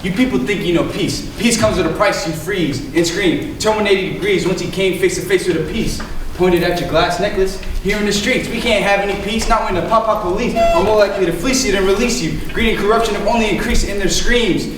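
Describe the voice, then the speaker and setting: A man's voice: sits high, fairly quick, lightly acted. A young man playing a cynical, defiant teenage boy delivering spoken rap verse in a school play. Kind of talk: amateur stage acting, spoken rap verse. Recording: very echoey stage, distant mic, noisy.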